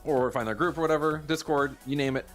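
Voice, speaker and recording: A man co-hosting a livestream, eager and quick, with loose casual phrasing. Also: on the high side, fairly even intonation, a bit bright, faint music behind. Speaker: livestream co-host.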